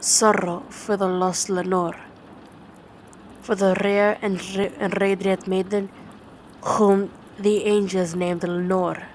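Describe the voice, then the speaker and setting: A woman playing a tender, dominant vampire girlfriend in an ASMR bedtime roleplay, speaking in a halting, soothing way. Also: medium pitch, level tone, darkish tone, lightly acted. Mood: hushed, brooding. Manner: slow, halting, soothing delivery with repeated words. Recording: clearly noisy ambient background.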